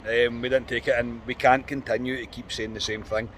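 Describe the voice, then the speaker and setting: A Scottish man hosting a football podcast outdoors, talking in an animated, frustrated way. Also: medium pitch, slight background noise. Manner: animated, frustrated, quick restarts. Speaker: podcast host. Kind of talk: post-match fan reaction chat. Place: outdoors on a street.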